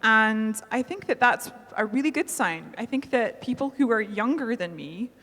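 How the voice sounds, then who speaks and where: A woman's voice: on the high side, expressive, moderate pace, a bit bright. A woman in her thirties chatting casually on a festival panel, self-mocking and full of fillers. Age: 30s. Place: festival talk hall. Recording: long hall reverb.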